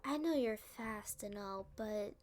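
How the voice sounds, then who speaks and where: A woman playing a playful, smug female supervillain in an ASMR roleplay, teasing and taunting with drawn-out phrasing. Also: medium pitch, a little mellow, natural voice.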